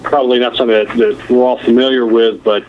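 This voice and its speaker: A man giving a halting technical explanation as an engineer presenting on a telephone conference call. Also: mid-range voice, fairly even intonation. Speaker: nuclear plant engineer presenting. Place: conference call over the phone. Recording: muffled, noisy telephone conference line.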